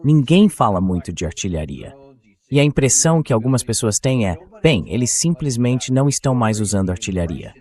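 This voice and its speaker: A man reading an interview host's questions in a measured, explanatory way, as a translated voice-over. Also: medium pitch, sing-song, darkish tone. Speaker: interview host.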